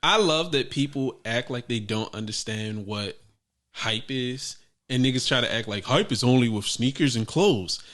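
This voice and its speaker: A man co-hosting a casual sneaker podcast, talking in a profane, animated way that jumps up loud when he jokes. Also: mid-range voice, normal speed. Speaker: podcast co-host. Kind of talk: casual chat.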